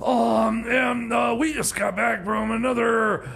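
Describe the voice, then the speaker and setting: Man playing a high, creaky, flustered radio DJ. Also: fairly even intonation, a bit bright, full character voice. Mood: flustered, rambling. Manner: stammering, halting, rambling. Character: Jeb, flustered male radio DJ. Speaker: radio host. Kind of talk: comedy radio theater.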